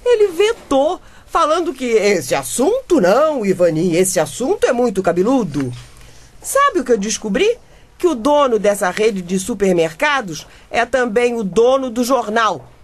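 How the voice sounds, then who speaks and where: An actress with a Brazilian accent playing an indignant, crusading mother and newspaper reporter in a comedic radio drama, emphatic and dramatic. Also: on the high side. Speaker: actor.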